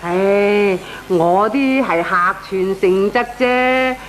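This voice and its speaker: A woman's voice: mid-range voice, very slow, low-quality recording. A woman playing a frugal middle-aged Hong Kong landlady in a Cantonese comic radio drama, colloquial and grumbling. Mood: self-justifying.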